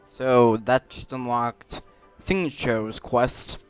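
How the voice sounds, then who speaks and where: A man casually talks through a video game playthrough. His voice is smooth and mid-pitched, and he takes his time as he thinks aloud over faint music. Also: very slow, dry recording. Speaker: gaming video maker.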